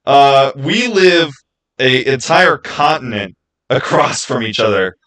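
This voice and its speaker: A man from the Americas chatting casually as a livestreamer, answering viewers and explaining himself in a halting way with repeated false starts. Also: medium pitch, animated, a bit bright. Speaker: live streamer and gaming YouTuber.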